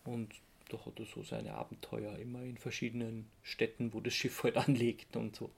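A man co-hosting a casual podcast, halting and correcting himself as he recounts things and gives firm opinions. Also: medium pitch, little pitch movement, gravelly, slightly rough. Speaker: podcast co-host.

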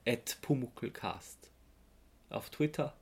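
A man co-hosting a casual podcast, speaking in a halting, hesitant way. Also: medium pitch, expressive, vocal fry, breathy edge. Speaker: podcast co-host.

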